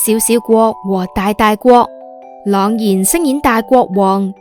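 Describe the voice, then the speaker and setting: A woman's voice: medium pitch, unhurried, a little mellow, soft background music. A woman with a Hong Kong Cantonese accent narrating a scripted story for children as a podcast host.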